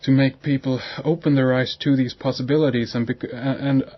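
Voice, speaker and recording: Brief: male talk radio host, husky tone, halting delivery, muffled broadcast sound. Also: medium pitch, fairly even intonation. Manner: halting, many fillers and restarts, agreeable. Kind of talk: hesitant radio interview chat.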